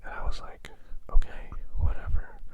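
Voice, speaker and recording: A person whispering a rambling, hesitant personal confession, sounding sad and downcast and often repeating themselves. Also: normal speed. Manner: whispering throughout.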